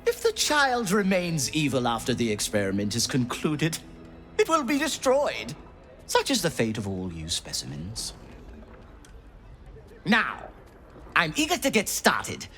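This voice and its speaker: Brief: heartless game scientist; clipped, lecturing, swooping delivery. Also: male voice, fairly high pitch, huge pitch swings, a little slow, long pauses, a bit bright, soft background music, heavily acted. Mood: clinical. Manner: precise, detached, lecturing. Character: cold Underdark scholar, callous and clinical. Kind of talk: video game voice acting.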